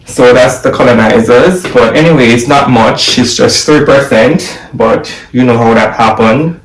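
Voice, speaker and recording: A young man from Jamaica, talking excitedly to camera from a home office and repeating the numbers he reads out. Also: medium pitch, a little slow, recorded from a distance. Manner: excited, exclaiming, repeating figures. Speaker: vlogger. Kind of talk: casual video commentary. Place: home office room, indoors. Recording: echoey room, voice from afar.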